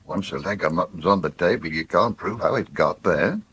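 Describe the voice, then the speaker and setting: Husky old English farmer. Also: male voice, deep voice, a bit bright, full character voice. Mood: amused, reminiscing. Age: older. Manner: reminiscing, halting, chuckling. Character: sentimental old farmer, wistful about bygone days. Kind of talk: naturalistic radio soap acting.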